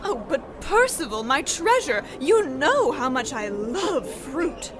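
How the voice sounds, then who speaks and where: A woman's voice: high-pitched, very animated, takes it slowly, a little hiss or hum, full character voice. A woman playing a giddy, wheedling wife with quaint melodramatic airs in an old-time comedy radio play.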